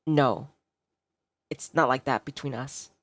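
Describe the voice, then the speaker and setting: A woman's voice: a bass voice, takes it slowly, no room sound, pitched below the performer's own voice, heavily acted. A woman voicing a curt male office rival who is secretly caring, speaking tersely. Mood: subdued. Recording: music behind the voice.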